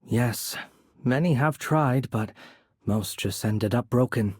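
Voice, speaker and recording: A man playing a courteous, composed young noble mage in a video game, soft-spoken and slightly breathy, with polite, measured, formal phrasing. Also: mid-range voice, no room sound, studio quality. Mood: grave, measured. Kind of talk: video game character voice acting.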